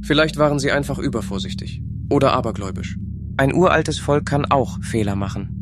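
An actor playing a rational, optimistic and somewhat dismissive space explorer in a science fiction audio drama. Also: male voice, mid-range voice, fairly quick, lightly acted. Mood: confident. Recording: music behind the voice.

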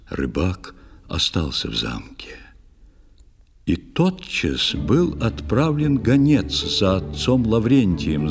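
Man, low husky voice, solemn slow verse narration, long reverb, music behind. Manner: solemn, measured, expressive. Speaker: narrator of a children's musical audio play. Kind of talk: dramatic recitation of a poetic tale.

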